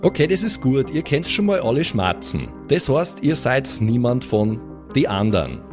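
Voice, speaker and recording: A man's voice: medium pitch, sing-song, normal speed, over music, pitched above the performer's own voice, lightly acted. A man playing a blunt, unfazed Austrian man of nearly seventy, a stocky old metal fan in an improvised audio adventure. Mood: wary, brusque. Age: late 60s.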